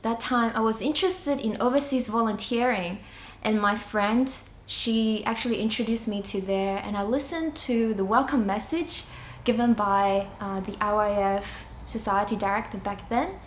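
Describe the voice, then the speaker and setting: A young woman from China and Singapore shares an unscripted personal testimony. She is reflective and halting, often pausing mid-sentence, with a medium-pitched voice. The room is noticeably echoey, with faint noise underneath. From China, moved to Singapore at nine. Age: young adult. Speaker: church member giving a testimony.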